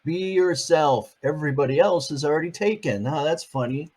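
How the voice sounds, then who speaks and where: A man's voice: a little high, moderate pace, a little mellow, slightly rough. An older man reading a picture book aloud to his grandchildren, adding chatty, playful asides between pages.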